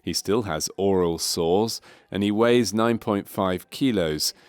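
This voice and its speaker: Male narrator for medical training film: lower register, measured, clinical, scripted. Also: clean tone, dry recording.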